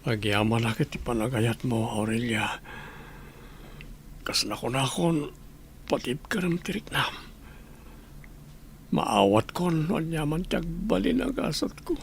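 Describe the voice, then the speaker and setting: Anguished sick man, creaky and swooping. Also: medium pitch, lively intonation, measured pace, long pauses, a little hiss or hum. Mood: anguished, regretful. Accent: Ilocano. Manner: pained, emotional, pleading. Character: anguished, regretful sick man, a hard drinker. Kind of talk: Ilocano radio drama acting.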